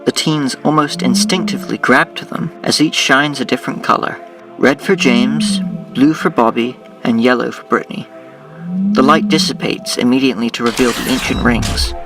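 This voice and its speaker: A man acting as narrator of a superhero audio drama, reading scripted scenes in a deliberate, dramatic way. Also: medium pitch, far from the mic, music in the background, natural voice.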